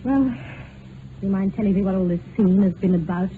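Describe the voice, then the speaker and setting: An actress playing a proud, brittle young aristocratic wife, genteel and arch, in a period radio drama. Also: female voice, medium pitch, fairly quick, natural voice. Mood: taunting. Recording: tinny old radio recording, some noise.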